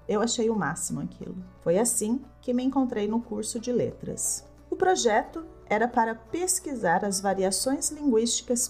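A woman Portuguese teacher hosting an episode for learners, speaking slowly and clearly with a warm enthusiasm. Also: on the high side. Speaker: Portuguese teacher and podcast host. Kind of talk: scripted narration for learners.

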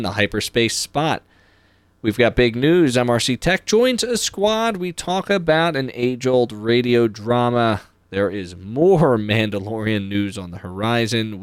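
Male podcast host, upbeat and informal, animated melodic delivery, dry studio-style sound. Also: on the low side, dry recording. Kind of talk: podcast presenting, chatty announcements.

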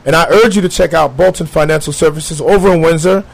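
A man's voice: fairly high pitch, fast, gravelly, dry recording, rough recording. A man hosting a talk show who asks friendly leading questions and often restarts his phrases. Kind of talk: interview questions.

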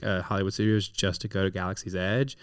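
A man's voice: deep voice, flat delivery, fast, smooth, no room sound, studio quality. A man co-hosting a podcast in casual chat, rambling with restarts and frequent filler words. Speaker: podcast co-host.

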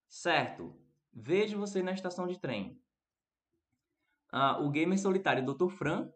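Higher-voiced northeastern Brazilian man teaching. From Recife, northeastern Brazil. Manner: chatty, questioning, addressing viewers by name. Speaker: English teacher hosting a live online class. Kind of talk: casual interactive lesson, reading and answering chat messages.

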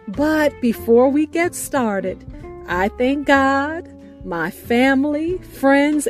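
High-voiced woman radio host, earnest. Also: darkish tone. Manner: earnest, grateful, measured delivery. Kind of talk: scripted show introduction. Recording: faint music bed behind voice.

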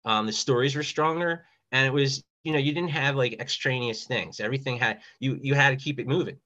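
A man with a very bright, forward voice, chatting as a guest on a panel. He rambles casually and often says "you know". The recording is slightly dull and lo-fi. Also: medium pitch, brisk. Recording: dull, lo-fi sound.

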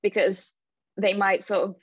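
A woman's voice: mid-range voice, level tone, a little slow, breathy edge, dry recording. A woman actor from Eastern Europe, asking a casting question in a tentative, apologetic way over a video call. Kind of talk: workshop question. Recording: dull lo-fi video call audio.

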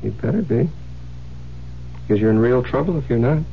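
An American actor playing a sardonic, murderous newlywed husband in a radio drama, smooth-talking and teasing with faint menace. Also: male voice, medium pitch, expressive, moderate pace. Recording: dull, noisy old radio recording with hum.

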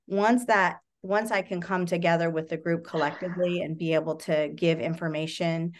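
A woman with a notably low voice shares her thoughts in a relaxed, searching way, stopping often to find the next word. She speaks into a home video call setup. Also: normal speed. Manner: reflective, searching for words with many fillers. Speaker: meeting participant sharing. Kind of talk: casual sharing in a recovery study meeting.

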